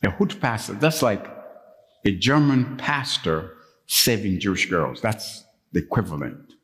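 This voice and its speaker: A middle-aged man from Uganda preaching a sermon as pastor, telling stories and jumping up to high, emphatic peaks when stirred. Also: animated, unhurried, fairly bright tone. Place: church. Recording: long reverb of a church.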